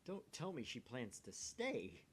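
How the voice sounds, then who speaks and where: A man's voice: medium pitch, wildly swooping pitch, unhurried, breathy edge. A man who hosts gaming videos, reading a story aloud with casual banter in between.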